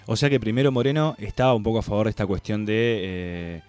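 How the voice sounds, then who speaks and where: A man's voice: on the low side, animated, quick pace, a little mellow, smooth. A man with an Argentine Rioplatense accent commenting casually as a radio co-host.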